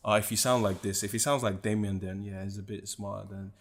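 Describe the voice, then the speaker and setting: A man's voice: lowish voice, steady tone, brisk. A man co-hosting a casual podcast, hesitant and full of fillers as he works out his questions. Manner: hesitant, many fillers, backchannels a lot. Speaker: podcast co-host. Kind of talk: casual chat.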